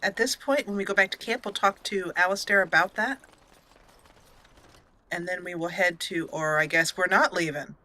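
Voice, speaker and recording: Bright-voiced woman narrating a game. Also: fairly low pitch, normal speed, low-quality recording. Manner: chatty, emotive, narrates in story-like asides. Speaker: let's play host and gamer. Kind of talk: casual roleplay game commentary.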